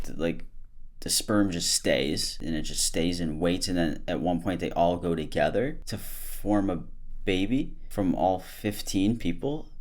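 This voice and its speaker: Man, very low voice, sarcastic, comedic reaction commentary. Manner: sarcastic, mocking jokes. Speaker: YouTube commentary host.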